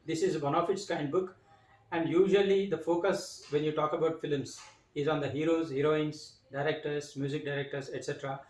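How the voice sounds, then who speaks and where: A man hosting an interview, introducing his guest and asking questions in measured, slightly formal phrasing. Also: fairly high pitch, steady tone, darkish tone, far from the mic. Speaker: interview host. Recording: roomy, mic set back.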